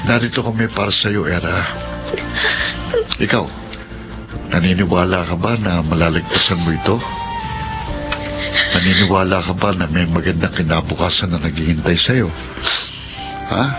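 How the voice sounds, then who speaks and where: A man playing a protective, supportive older brother, speaking with gentle reassurance in a radio drama. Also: low voice, fairly even intonation, normal speed, pauses often, muffled recording, close to an everyday voice. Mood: comforting. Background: music.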